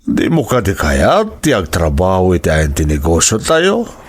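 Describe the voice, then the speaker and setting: A man playing a supportive middle-aged father in an audio drama, with a gravelly, husky voice and an expressive, affectionate manner. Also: low-pitched, normal speed, heavily acted. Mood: proud, curious. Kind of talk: dramatized family radio-style drama.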